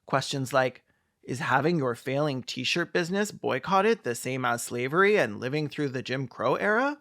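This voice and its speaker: A man's voice: medium pitch, expressive, moderate pace, a bit bright. A man giving comedic film commentary in a sarcastic, campy, theatrical manner. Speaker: video commentator.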